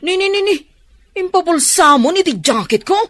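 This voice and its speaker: Bright, animated, eager young woman. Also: very high voice, normal speed, no echo. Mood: excited, cheerful. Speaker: radio drama actress. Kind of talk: radio drama acting.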